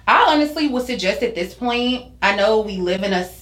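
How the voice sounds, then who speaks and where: A woman's voice: mid-range voice, lively intonation, a little fast, fairly bright tone. A woman in her twenties chatting candidly and informally as a podcast guest, using casual slang. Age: 20s. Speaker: podcast guest, social media content creator. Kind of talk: casual conversational interview. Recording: echoey room sound.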